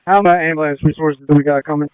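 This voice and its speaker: A man's voice: fairly high pitch, steady tone, very fast, clear, resonant tone, dry recording. A man working as an emergency responder, talking informally over two-way radio. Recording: phone-like narrow-band two-way radio.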